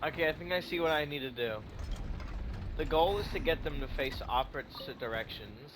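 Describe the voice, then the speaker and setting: A man's voice: on the high side, lively intonation, normal speed, background noise. A man giving casual gaming commentary, thinking aloud and muttering to himself with some swearing. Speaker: video game streamer. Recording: music behind the voice. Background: game music.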